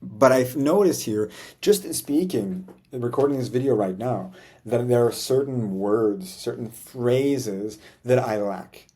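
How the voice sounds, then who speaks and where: Earnest man with a mellow voice. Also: medium pitch, lively intonation, measured pace, slightly rough. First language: English. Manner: earnest, reflective, frequent "right?" tags. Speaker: language coach vlogger. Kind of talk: casual talking-head vlog.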